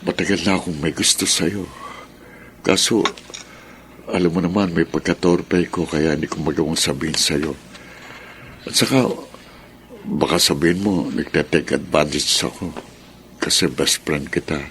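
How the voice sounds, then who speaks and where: Deep-voiced, protective lawman. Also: fairly even intonation, moderate pace, long pauses, a bit bright, slight background noise. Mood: pleading. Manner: earnest, solemn. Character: protective male lawman, shy and lovelorn suitor. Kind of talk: horror radio drama acting.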